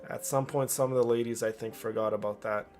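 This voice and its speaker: A man's voice: mid-range voice, steady tone, brisk, darkish tone. A man streaming to a community, talking casually and off the cuff in an earnest, emphatic way, often repeating phrases. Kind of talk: casual unscripted personal talk over gameplay. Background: game music and character voices.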